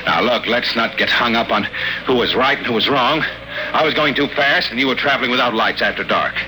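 A man's voice: medium pitch, fairly quick, close to an everyday voice, rough recording. An actor playing a cocky, hard-boiled male private detective in a 1950s radio drama, narrating and trading wisecracks. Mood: wry. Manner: wisecracking tough-guy banter. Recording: old radio broadcast with loud music.

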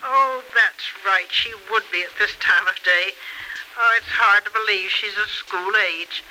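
A woman's voice: mid-range voice, unhurried. An actress playing a genteel grandmother with effusive, well-mannered phrasing in a radio soap opera in the style of the 1940s. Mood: resigned, helpful. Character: anxious, grateful grandmother, well-mannered, resigned about her daughter. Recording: old noisy radio recording, sharp sound.

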